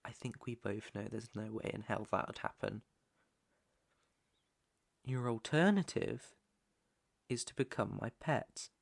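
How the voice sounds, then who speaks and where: A British man playing a controlling, possessive young mafia heir in an ASMR roleplay, deliberate and commanding, with menace under the coaxing. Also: fairly low pitch, vocal fry. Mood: smug, coaxing. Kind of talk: ASMR roleplay, second-person dramatic acting.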